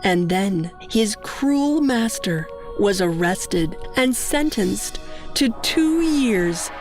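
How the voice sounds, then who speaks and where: A woman narrating a scripted moral story as a storyteller, in a simple, deliberate manner. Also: mid-range voice, animated, soft background music.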